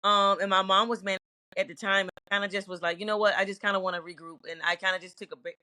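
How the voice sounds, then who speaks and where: Earnest woman singer, bright dry voice. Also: mid-range voice, little pitch movement, fast talker, dry recording. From Virginia, US. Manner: reflective, earnest, with frequent restarts. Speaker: singer, interview guest. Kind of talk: candid interview answers.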